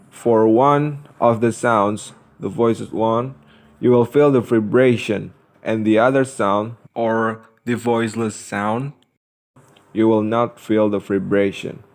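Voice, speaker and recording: A young man reads a scripted lesson as a student presenter, enunciating carefully and deliberately. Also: on the low side, a little mellow. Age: young adult.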